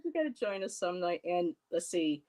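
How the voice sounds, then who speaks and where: A woman's voice: on the low side, very animated, normal speed, darkish tone, slight background noise. A woman in her fifties hosting a live stream, chatting casually with a friend and reading out viewer comments, rambling and laughing often. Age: 50s. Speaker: host.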